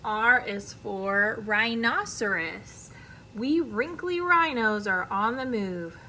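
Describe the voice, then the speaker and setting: A woman's voice: on the high side, animated, slow pace, pushed and bright, a little hiss or hum. An American woman, around her thirties, reads a children's picture book aloud like a teacher, expressively and carefully, with friendly asides to young listeners. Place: indoor classroom.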